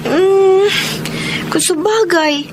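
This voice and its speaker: A woman's voice: extremely high pitch, very slow, noisy background, full character voice. A woman playing a shy, respectful young student in a Filipino radio romance, polite and easily flustered. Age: young adult.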